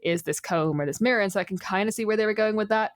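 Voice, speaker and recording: A woman's voice: medium pitch, quick pace, no room sound, clean studio sound. A woman talking casually about folklore and games, opinionated and given to rambling asides.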